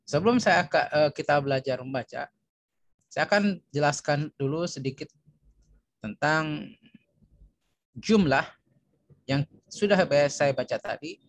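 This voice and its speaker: A man's voice: on the high side, vocal fry, a bit bright, no echo. A man with an Indonesian accent teaching a language lesson online, explaining and reading phrases aloud with repetition for learners. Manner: instructional, repeats phrases for learners.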